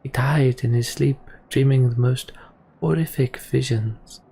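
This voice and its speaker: Man acting out a gravelly, scheming prisoner. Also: medium pitch, steady tone, mellow, slight background noise. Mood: cold gloating. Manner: deliberate, taunting, speechifying. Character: scheming, menacing male prisoner and political manipulator. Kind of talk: dramatic reading of RPG dialogue.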